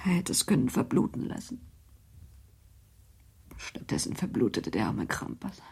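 Husky, brooding young wife. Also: female voice, a bass voice, wildly swooping pitch, darkish tone, full character voice. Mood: brooding, bitter. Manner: brooding, halting inner monologue. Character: guilt-ridden young wife, brooding and rebellious. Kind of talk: German radio drama acting.

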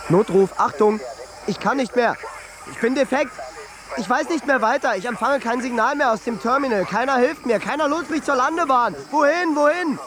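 High-pitched panicking man in meltdown. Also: normal speed. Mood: desperate panic. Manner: desperate, distress-call exclamations. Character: panicking man breaking down like a failing airplane. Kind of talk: radio drama acting.

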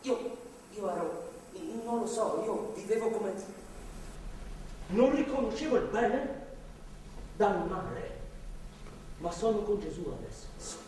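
A male stage actor playing a volatile born-again reformed drunk, pleading haltingly with his wife in a theatrical, impassioned way. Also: sits high, very animated, heavily acted. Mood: contrite, broken. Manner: halting, imploring, swinging from hushed to shouting. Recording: echoey theatre hall, distant mic, faint noise.

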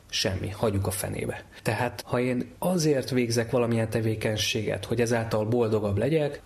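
Guy with a lowish voice explaining psychology step by step, measured and teacherly. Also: a little mellow.

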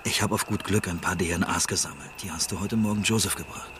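Man as informal investigator, fairly deep voice, eager, hesitant, naturalistic radio drama. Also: fast talker, background noise, natural voice. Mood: keen, suspicious. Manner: hesitant restarts, eager, chuckling. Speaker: actor in a radio play.

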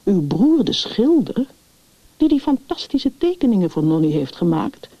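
A woman narrator voices a grave male family doctor in a storybook, firm and deliberate in his advice. The tone is mellow and unforced, on a slightly muffled, dry recording. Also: medium pitch, sing-song, no echo, voice pushed up. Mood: surprised, keen. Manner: deliberate, authoritative, explanatory.

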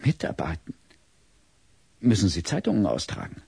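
An actor playing a big, kindly, patient child psychologist in an audio drama, gently probing and explaining. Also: male voice, sits low, huge pitch swings, moderate pace, creaky, husky, no echo, heavily acted. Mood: earnest, explanatory.

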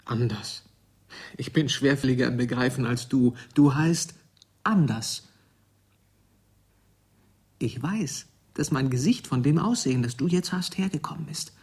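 Casting: troubled, bewildered man in German radio drama, markedly raspy voice, ponderous formal delivery. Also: mid-range voice, unhurried, pauses often, darkish tone. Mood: bewildered, searching. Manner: ponderous, searching, formal literary speech. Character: troubled, bewildered grown man meeting his younger double.

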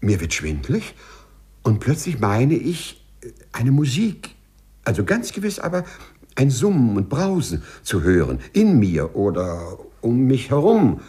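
A man's voice: medium pitch, wildly swooping pitch, measured pace, dark tone, slightly rough, heavily acted. An actor playing a timid, overly correct male civil servant with hesitant, formal phrasing in a 1950s radio play. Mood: agitated, bewildered.

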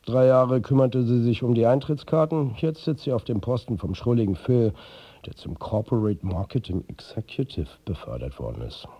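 An actor playing a world-weary, sardonic football manager who narrates a radio drama in a husky, blunt, confiding way. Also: male voice, mid-range voice, lively intonation, normal speed, warm tone, no room sound. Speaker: actor narrating as the lead character.

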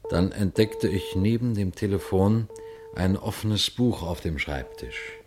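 Deep-voiced, measured detective inspector. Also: male voice, huge pitch swings, noisy background, exaggerated voice. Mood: measured, observant. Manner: matter-of-fact, measured, inquisitive. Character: composed, shrewd male police detective inspector telling his case. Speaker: narrator and lead actor of a radio play. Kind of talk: German radio crime play, first-person narration and dialogue.

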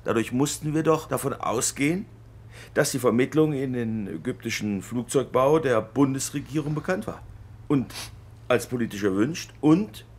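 An actor playing an impatient, grumbling German engineer in a radio drama, sullen and contemptuous, stiffly formal with others. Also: male voice, medium pitch, moderate pace, husky, lightly acted. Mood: indignant. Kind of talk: naturalistic radio drama acting with muttered inner monologue.

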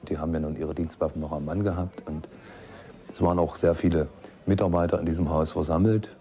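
A man's voice: sits low, fairly even intonation, quick pace, dark tone. A man from East Germany giving a colloquial, detailed eyewitness account.

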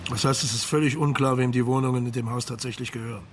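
An actor playing a pensive, sceptical police marshal in a radio crime drama, thoughtful and questioning. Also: male voice, mid-range voice, fairly even intonation, fast, slight background noise, lightly acted.

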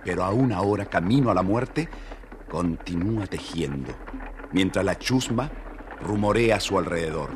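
A man narrating a scripted children's fairy tale as an expressive, dramatic storyteller. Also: deep voice, normal speed, noisy background.

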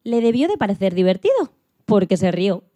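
A woman narrating a children's story for radio in an expressive, dramatic way. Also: medium pitch, a little fast, dry recording.

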